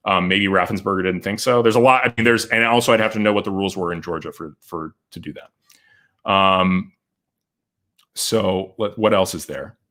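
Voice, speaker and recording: A man hosting a livestream, giving legal commentary and answering viewer comments, often halting and restarting as he thinks aloud. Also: low voice, pushed and bright. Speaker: lawyer and show host.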